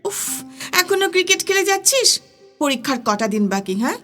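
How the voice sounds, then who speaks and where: A woman's voice: very high-pitched, sing-song, moderate pace. A woman playing a studious young university student in a recited dramatic dialogue, chiding and affectionate, with a Kolkata Bengali accent. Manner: teasing, questioning, chiding. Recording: studio voice over guitar music. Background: plucked guitar music.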